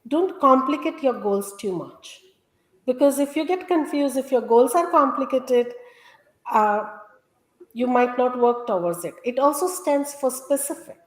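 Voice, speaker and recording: This woman talks in an earnest, explanatory way, her voice pitched a little high. The recording has a roomy, echoey sound. She speaks as a guest, steadily walking the listener through her points. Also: expressive, a little slow. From India. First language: Gujarati. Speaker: guest, health coach. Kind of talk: conversational interview.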